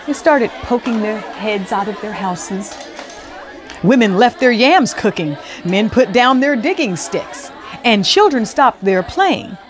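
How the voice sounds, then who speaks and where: A middle-aged woman narrating a children's story aloud in a theatrical, expressive way, close on a lapel mic. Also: a little high, slow pace, a faint crowd. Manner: theatrical, animated.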